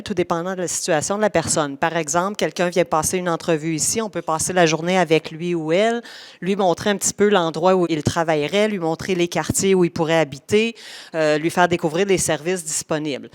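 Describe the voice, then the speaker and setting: A woman with a Québécois accent, a regional agent giving an enthusiastic, informative presentation that runs through lists with frequent restarts. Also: fairly low pitch. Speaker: regional youth settlement agent.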